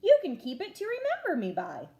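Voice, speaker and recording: A woman reads as a cheeky schoolboy in a children's storybook. He is offhand and teasing, with a lively, swinging pitch and a mellow tone. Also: brisk, full character voice. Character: cheeky, nonchalant first-grade class clown boy.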